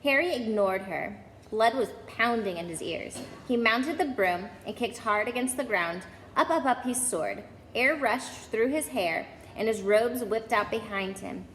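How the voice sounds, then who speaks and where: A woman's voice: a little high, expressive, unhurried, fairly bright tone. A woman reading a children's novel aloud as a storyteller. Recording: echoey hall, faint background noise.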